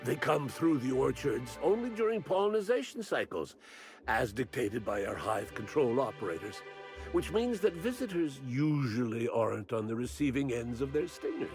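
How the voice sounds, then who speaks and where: A man's voice: medium pitch, sing-song, moderate pace, a little mellow, faint music behind. An older man playing a crotchety, eccentric old botanist in a video game, rambling and grumbling with morbid bluntness. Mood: matter-of-fact, wry. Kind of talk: video game character acting.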